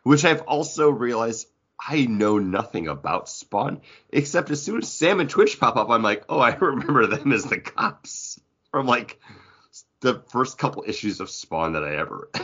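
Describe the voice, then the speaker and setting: A man's voice: medium pitch, big pitch swings, normal speed, fairly bright tone, slightly rough. A man co-hosting a podcast in casual conversation about comics. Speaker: podcast co-host. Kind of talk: casual comic book discussion. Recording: dull, lo-fi podcast sound.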